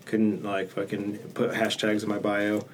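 A man's voice: on the low side, monotone, measured pace. A young man co-hosting a podcast, making offhand, mumbly asides. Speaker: podcast co-host. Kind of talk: casual chat. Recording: distant mic with faint noise.

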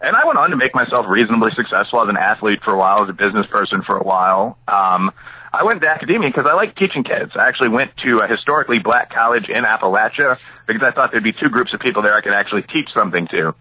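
Male author guest from Chicago, very bright husky tone, lively swings in pitch, thinks aloud. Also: quick pace, rough recording. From Chicago area, USA. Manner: expansive, thinks aloud with frequent asides. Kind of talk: conversational interview answers.

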